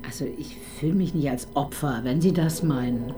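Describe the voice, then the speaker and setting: A woman's voice: extremely low pitch, normal speed, background noise. An actress playing a guarded woman who answers in a defensive, curt way. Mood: defiant.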